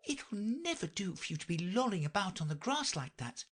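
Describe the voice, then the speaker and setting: A woman's voice: medium pitch, sing-song, moderate pace, slight background noise, pitched above the performer's own voice, natural voice. A woman voicing a polite, earnest young girl with a slightly timid manner. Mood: earnest girlish.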